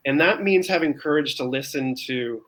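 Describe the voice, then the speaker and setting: Man, interview guest, earnest explanatory delivery, medium pitch, conversational. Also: moderate pace, fairly bright tone. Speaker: interview guest, open space campaigner.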